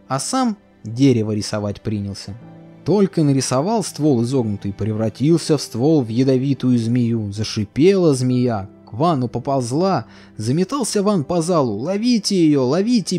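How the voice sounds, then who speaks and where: A man narrating a fairy tale for a cartoon in a sing-song, expressive storytelling manner. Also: mid-range voice, normal speed, husky. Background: faint music.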